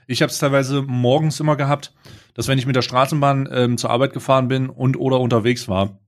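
A man talks in a relaxed podcast conversation, frank and teasing, often pausing on fillers. His voice is middle-pitched. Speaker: podcast co-host. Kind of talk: casual chat.

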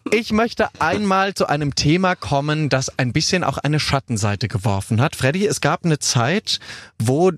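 A male host with a somewhat high-pitched voice, heard dry and close. He chats casually, teasing and bantering through an interview. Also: expressive, normal speed, no echo. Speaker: talk-show host.

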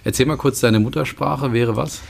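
A man's voice: mid-range voice, moderate pace, gravelly. A man hosting a podcast interview who asks long, rambling, friendly questions. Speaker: podcast host. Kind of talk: conversational interview.

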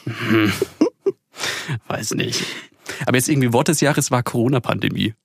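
A man's voice: mid-range voice, measured pace, gravelly, husky, no room sound, clean studio sound. A young man in his twenties co-hosting a podcast in casual chat, playful and joking, who grew up bilingual. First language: bilingual upbringing. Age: 20s. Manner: playful, jokey, sings silly bits. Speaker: podcast co-host.

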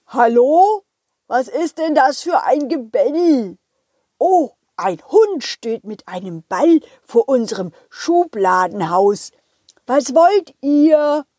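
Woman voicing a high-pitched hesitant bookworm. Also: lively intonation, takes it slowly, dark tone, dry recording, clean studio sound, exaggerated voice. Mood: curious. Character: hesitant, apologetic bookworm parent peering out of home.

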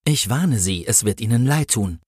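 A man's voice: on the low side, expressive, normal speed, darkish tone, breathy edge, dry recording, studio quality. A man voicing a pompous, easily indignant Christmas angel in a comic story, speaking in a solemn, preachy, formal way.

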